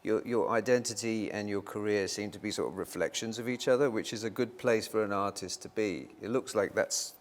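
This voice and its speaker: A male interviewer with a lowish voice asks calm, measured questions. He keeps the guest going with steady murmurs of agreement. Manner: measured, affirming, frequent "mm-hmm" backchannels. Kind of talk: conversational interview.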